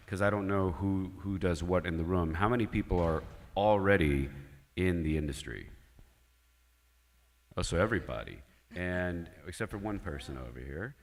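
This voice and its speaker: A man with a low voice leads a panel discussion at a conference. He talks in a measured way and keeps asking the audience and panelists questions. His voice rings out with the long reverb of a large hall. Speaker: panel moderator.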